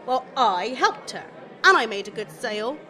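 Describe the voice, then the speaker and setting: Woman as a high, bright, grumbling shop assistant. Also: animated, normal speed, some chatter behind. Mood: bored, grumbling. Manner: chatty, grumbling, emphatic. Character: aggrieved, self-assured female shop assistant. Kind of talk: radio comedy acting.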